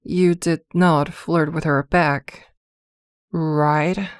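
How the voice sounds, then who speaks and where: A possessive witch girlfriend, acted by a woman in a fantasy audio roleplay. She speaks slowly in a lowish voice, irritable yet protective, mixing sharp scolding with tender care. The sound is dry and close. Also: steady tone, clear, resonant tone, dry recording. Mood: jealous, possessive. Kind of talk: ASMR roleplay acting.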